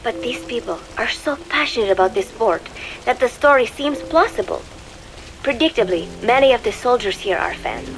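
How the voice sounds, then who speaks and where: A woman's voice: on the high side, lively intonation, moderate pace, slight background noise, low-quality recording. An actress playing a prim, secretive young woman in a video game, narrating an audio diary in a composed, precise, faintly disdainful way. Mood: measured, detached.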